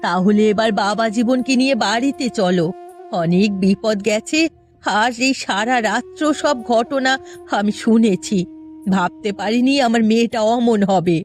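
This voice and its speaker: A woman's voice: fairly high pitch, measured pace, faint music behind, natural voice. A woman voicing an anxious, upright middle-aged village mother-in-law in a cartoon, earnest and motherly. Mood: relieved, caring. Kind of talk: cartoon voice acting.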